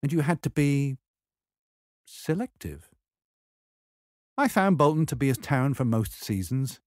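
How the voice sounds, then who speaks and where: A man reads a memoir in a measured, wry storytelling manner. His pitch sits in the middle range but moves around in a lively way. The sound is close and dry, with very little room on it. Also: no room sound. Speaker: memoir reader. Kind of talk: scripted memoir narration.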